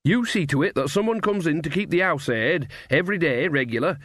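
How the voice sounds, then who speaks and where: A man voicing a bossy, cheerful old village witch mother in a pitched-up, chatty rustic dialect. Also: expressive, fairly quick, a bit bright, no echo, studio quality.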